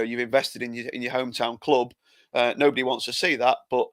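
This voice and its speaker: A man's voice: mid-range voice, fairly bright tone, no room sound. An English man co-hosting a football podcast in casual chat, often restarting his sentences. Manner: hesitant, lots of repeated starts. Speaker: podcast co-host.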